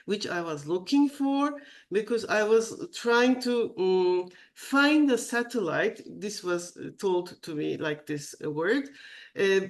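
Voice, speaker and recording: Halting woman co-host on a video call. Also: medium pitch, lively intonation. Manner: halting, pausing to search for words. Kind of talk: spiritual podcast conversation. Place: at a home computer.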